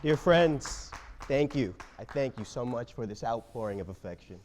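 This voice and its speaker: A man's voice: mid-range voice, animated, moderate pace, a little mellow, close to an everyday voice. A man playing a smug, entitled, predatory company chief in a staged play reading, recorded with heavy noise. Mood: dismissive politeness. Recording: very noisy recording with clicks.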